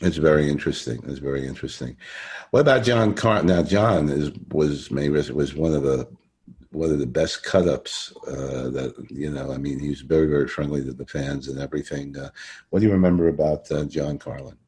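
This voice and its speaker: A man hosting a casual, chatty interview in an effusive way, repeating phrases for emphasis, with a gravelly voice. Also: a bass voice, a little fast, a little mellow. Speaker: interview host.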